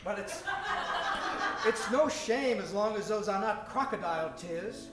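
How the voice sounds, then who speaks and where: A man's voice: high-pitched, expressive, a little slow. A man playing a grandiose, gallant professor-adventurer in an old-time radio comedy, earnest and self-important. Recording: roomy, distant mic with music.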